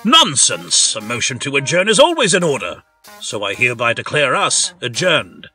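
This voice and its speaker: A man's voice: mid-range voice, wildly swooping pitch, moderate pace, sharp tone, breathy edge, soft background music, full character voice. A man voicing a pompous, scheming pig who speaks like a grand orator. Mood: blustering.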